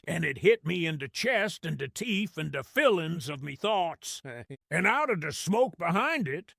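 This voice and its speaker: A man voicing a small, cunning goblin-like grot with a gravelly Cockney-style accent, telling a war tale in a wry, conspiratorial way for an audio drama. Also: high-pitched, fairly bright tone, no echo, studio quality, full character voice. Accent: Cockney-style English. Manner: wry, conspiratorial, streetwise, ungrammatical slang. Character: small cunning cowardly male grot narrator, wry storyteller. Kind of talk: in-character audio drama storytelling.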